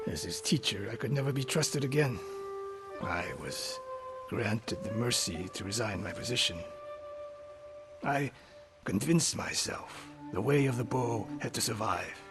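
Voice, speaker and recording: Proud bitter older samurai sensei, stern n clipped, video game drama, music underneath. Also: male voice, lowish voice. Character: proud, bitter older samurai archery master, haunted by failure. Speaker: archery sensei. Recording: music behind the voice.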